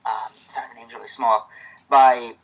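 Casual man with a stutter and a mid-pitched voice, chatting, then reading a story aloud. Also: flat delivery, normal speed, a bit bright, low-quality recording. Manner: stutters, with restarts.

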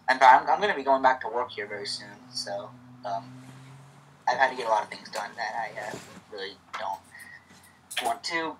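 A man's voice: on the low side, fairly bright tone, a little hiss or hum, low-quality recording. A man with a stutter hosting a casual reading of a fan story, with many restarts.

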